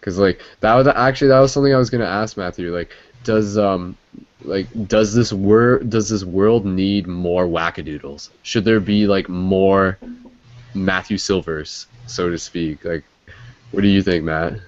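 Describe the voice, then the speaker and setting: Host: low-pitched man, loose rambling chat, dry muffled lo-fi sound. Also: unhurried, no echo. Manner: rambling, many fillers. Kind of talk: casual chat. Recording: dry dull lo-fi audio.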